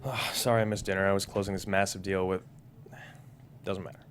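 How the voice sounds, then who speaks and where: A man's voice: low-pitched, little pitch movement, a little fast, slight background noise, close to an everyday voice. An actor playing a self-assured businessman in his thirties in a script table read. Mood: confident. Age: 30s.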